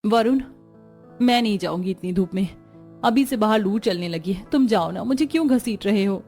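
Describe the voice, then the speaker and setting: A voice actress playing a young new bride who grumbles and whines about housework in an animated story. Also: female voice, medium pitch, moderate pace, soft background music, close to an everyday voice. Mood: whining. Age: young adult. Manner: whiny, grumbling. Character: young lazy new bride, whiny and complaining.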